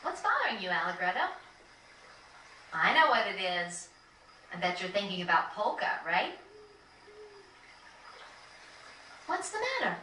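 A woman's voice: medium pitch, sing-song, moderate pace, pushed and bright. A woman playing a spirited, frank young female fighter in a video game, recorded off a TV. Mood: teasing. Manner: teasing, frank. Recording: roomy, distant, faintly noisy TV capture.

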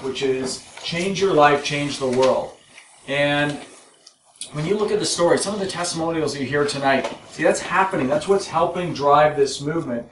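A Canadian man in his forties talks casually to a small group in a living room, joking and upbeat, often restarting his sentences. His voice sits a little high and is picked up from across the room, with a roomy echo and a faint hiss. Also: a bit bright. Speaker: company president. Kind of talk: casual talk at a home meeting. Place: living room at a home meeting. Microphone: far camera. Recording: echoey room from far off.

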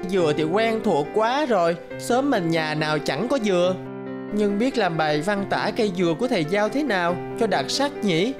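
A woman's voice: mid-range voice, animated, normal speed, over loud music, natural voice. A woman voicing a sheepish little schoolboy in a cartoon, fretting to himself in a childlike, hesitant way. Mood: puzzled. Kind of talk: cartoon dialogue and inner monologue.

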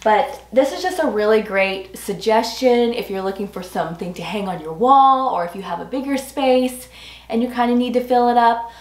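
A woman in her forties with a slightly hoarse voice, chatting enthusiastically to viewers as she reviews home products. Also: on the high side, moderate pace. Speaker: home decor vlogger. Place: small furnished rooms at home, indoors. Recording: roomy echo.

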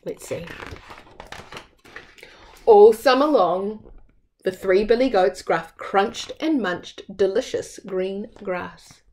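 A woman's voice: mid-range voice, slow, pauses often, darkish tone. A woman in her thirties reading a children's story aloud expressively, as a storyteller at home. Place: small room at home.

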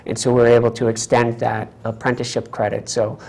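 A man's voice: medium pitch, completely flat delivery, a little fast, creaky, a little mellow. A middle-aged man speaking as a guest representative in a studio panel discussion. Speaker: state representative, interview guest. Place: small TV studio, round table. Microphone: lapel mic.

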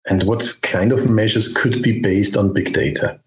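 An older man in his sixties with a low voice hosts an interview through a headset on a video call. He speaks clearly and deliberately. The sound carries a roomy echo. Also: steady tone. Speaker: interviewer and host. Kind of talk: scripted intro and interview questions.

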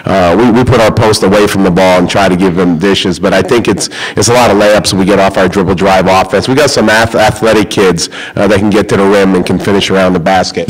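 A man's voice: sits low, fairly even intonation, a little fast, hardly any pauses, husky, rough recording. A middle-aged man answering questions as head coach at a press conference, rambling with many fillers and restarts. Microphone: table microphone.

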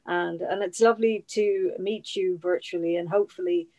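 A woman's voice: lowish voice, fairly even intonation, moderate pace, darkish tone. Chatty middle-aged woman hosting. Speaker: host. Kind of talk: informal event hosting. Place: indoor room at home.

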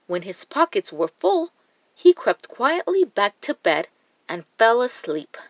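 Slow, lively woman reading a storybook. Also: fairly high pitch, no echo. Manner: slow, deliberate, expressive read-aloud delivery. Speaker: storyteller reading children's books. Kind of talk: scripted children's storybook narration.

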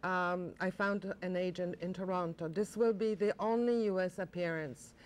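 Older lady on a tv interview, chatty and excited, lots of uhs and restarts, studio lapel mic. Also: lowish voice, moderate pace. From Poland. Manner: enthusiastic, animated, many fillers and restarts. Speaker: director of a college performing arts center.

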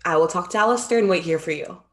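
A woman's voice: lowish voice, fast talker, lightly acted. A young woman acting an earnest, formal immortal muse in a staged reading, speaking precisely. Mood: tender, decided. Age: young adult. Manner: formal, precise, avoids contractions. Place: indoor room at home, video call.

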